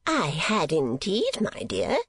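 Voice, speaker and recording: A woman voicing a vain, rambling middle-aged gentlewoman mother in a storybook reading, with an affected, garrulous manner. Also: sits low, huge pitch swings, slow pace, breathy edge, no room sound, exaggerated voice. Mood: complacent, rambling.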